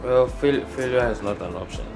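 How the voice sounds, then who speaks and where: A man's voice: medium pitch, normal speed, over music. A young man, a medical student, speaking earnestly in a casual group discussion and using rhetorical questions. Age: young adult.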